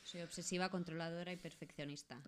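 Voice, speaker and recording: A woman's voice: on the low side, steady tone, fast, a crowd in the background. A woman from chats casually as a podcast co-host, putting in short, wry remarks. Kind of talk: casual chat.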